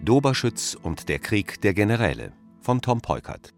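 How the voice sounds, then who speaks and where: A man's voice: on the low side, very animated, normal speed, faint music behind. A man reading scripted radio drama credits as an announcer.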